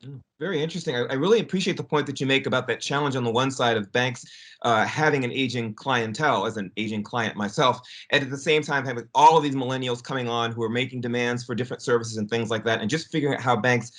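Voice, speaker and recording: A man hosting an interview, asking polished, quick questions. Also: medium pitch, fairly bright tone.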